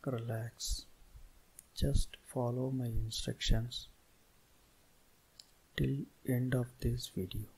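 Very slow-speaking man guiding meditation. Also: mid-range voice, flat delivery, a little mellow, breathy edge, low-quality recording. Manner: soothing, deliberate, short phrases with long pauses. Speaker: meditation guide. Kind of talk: guided meditation instruction.

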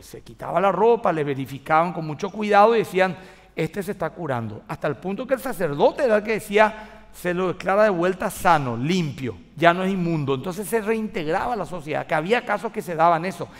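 A Paraguayan pastor in his forties delivers an animated sermon, voicing little dialogues as he goes. His voice sits high for a man and climbs and drops dramatically. The church hall adds a long reverb tail. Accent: Paraguayan Spanish. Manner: animated preaching with acted dialogue and gestures. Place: large church stage with live congregation.

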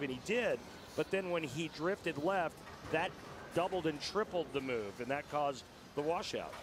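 A man explaining bowling technique at length as a color commentator. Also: on the high side. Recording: TV broadcast with arena noise behind.

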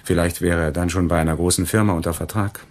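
An actor playing a loyal, reassuring family friend in a German radio crime drama. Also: male voice, low voice, steady tone, quick pace, natural voice. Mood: wry.

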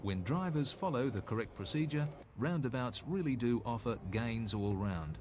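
A man's voice: mid-range voice, lively intonation, moderate pace, noisy background. A man with an Australian accent narrating a scripted 1980s road safety film in an instructive, slightly folksy way.

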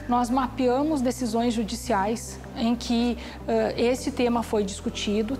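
A Brazilian woman in her forties, an academic giving a formal explanation in an interview, on a lapel mic indoors. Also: fairly high pitch. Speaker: academic researcher. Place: indoors, small office. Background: music.

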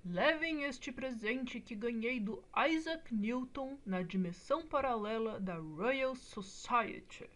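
A wise cartoon inventor acted out by a woman speaks slowly in a fairly high voice. The manner is measured and encouraging, like guidance given to children. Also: expressive, a bit bright. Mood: warmly encouraging.

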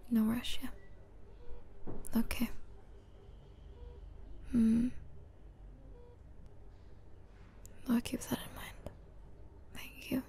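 A woman playing an anxious, grieving young adult in an ASMR roleplay, speaking in a hushed, breathy, halting way. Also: a little high, little pitch movement. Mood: subdued, fond. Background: hospital ambience.

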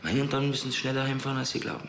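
An actor playing a strict, self-important au pair agency boss in a TV crime drama, speaking formally. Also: male voice, mid-range voice, racing, creaky, echoey room, noisy background, close to an everyday voice. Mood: stern.

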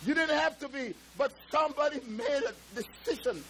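A man in his seventies, from Trinidad, preaching a sermon on a church stage through a headset mic, stretching words and breaking into shouted exclamations. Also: extremely high pitch, normal speed, slight background noise. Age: 70s. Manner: moves and gestures around the pulpit. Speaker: pastor. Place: church stage with drum kit.

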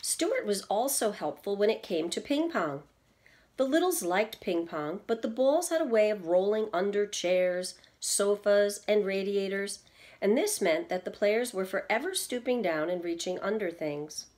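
A woman's voice: medium pitch, expressive, measured pace, a little mellow. Middle-aged woman reading a children's story aloud. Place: indoors at home, seated in an armchair in a small room.

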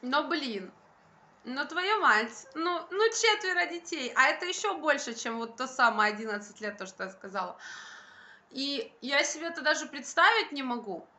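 Young woman, high bright voice, casual vlog chat, emphatic, colloquial, repeats herself. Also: lively intonation, moderate pace. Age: young adult. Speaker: vlogger and mother. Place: indoor room by a window. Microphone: phone at arm's length.

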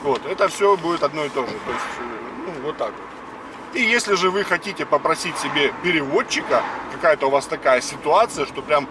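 A man's voice: a little high, a little fast. A man in his fifties, host of a casual spoken lesson, explaining words one by one while driving. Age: 50s. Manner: chatty, explains word by word. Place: car on the road. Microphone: dashboard camera. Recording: distant car mic with road noise.

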